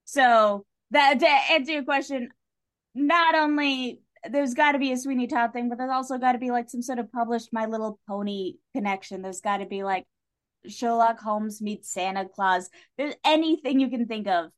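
A woman's voice: a little high, moderate pace. A woman talking casually as a podcast guest, opinionated and effusive, with emphatic delivery.

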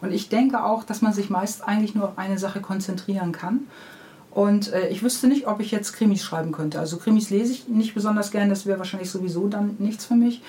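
A woman's voice: medium pitch, fairly quick, mellow. A woman who writes children's books, answering interview questions in an unscripted, conversational way. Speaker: children's book author being interviewed.

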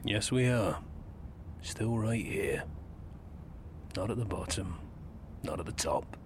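A man's voice: lowish voice, takes it slowly, background noise. An actor playing a harried male football club chief executive in an audio drama, flustered and exasperated. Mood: weary.

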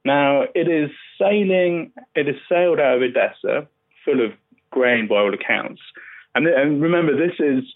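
A man's voice: fairly high pitch, animated, moderate pace. A journalist, a man, giving spoken news analysis over a muffled remote connection. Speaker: newspaper journalist. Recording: dull, band-limited remote line.

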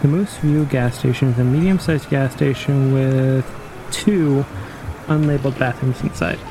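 A man playing a dry, matter-of-fact post-apocalyptic wayfinder in an audio drama, speaking in a measured, precise way. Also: mid-range voice, steady tone, noisy background, close to an everyday voice. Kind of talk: audio drama interview storytelling.

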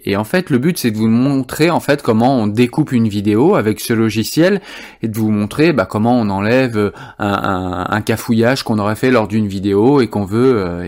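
A man presenting an informal software tutorial, explaining things step by step as he demonstrates them. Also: medium pitch.

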